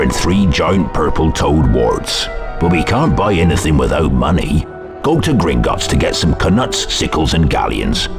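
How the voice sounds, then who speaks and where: An actor playing a big, gruff, caring gamekeeper in a video game, with folksy casual speech. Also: male voice, extremely low pitch, heavily acted. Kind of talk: video game character acting. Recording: music behind the voice.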